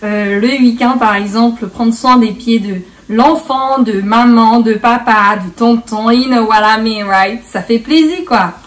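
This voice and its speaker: A woman's voice: a little high, a little slow, few pauses, recorded from a distance. A woman hosting a casual beauty tutorial in a playful, chatty way, slipping bits of English into her French. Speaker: beauty vlogger, host.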